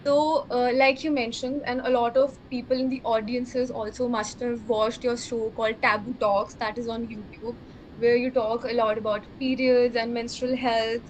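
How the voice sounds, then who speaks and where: A woman's voice: on the high side, slight background noise, poor audio. A woman hosting a college talk show, asking questions with admiring remarks. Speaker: student interviewer.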